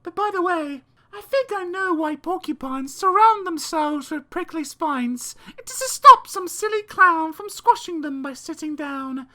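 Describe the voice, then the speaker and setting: A woman's voice: extremely high pitch, expressive, measured pace, few pauses, sharp tone. A British woman narrating children's verse as an audiobook reader, theatrical, dramatic and precise.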